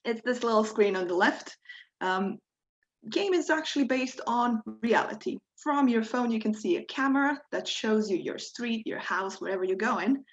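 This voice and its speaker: A woman's voice: fairly high pitch, expressive, normal speed, highs cut off. Woman teaching a live workshop. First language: Croatian. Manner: friendly, explanatory, encouraging, occasional small grammar slips. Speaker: workshop leader. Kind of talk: live online tutorial.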